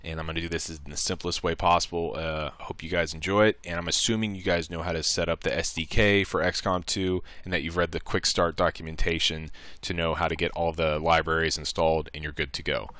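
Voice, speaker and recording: A man with a low, clear voice walks through a software tutorial in an informal way. He thinks aloud step by step, sounding bright and close in a dry space. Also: fairly even intonation, brisk, hardly any pauses, no echo. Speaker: tutorial presenter. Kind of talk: casual screen-recorded software tutorial.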